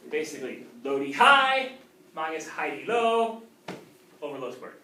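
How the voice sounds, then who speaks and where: Male math teacher, lecturing and checking in with the class. Higher voice, recorded from a distance in a roomy room with faint background hiss. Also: very animated, a little slow, pushed and bright. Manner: checks in with frequent "okay?".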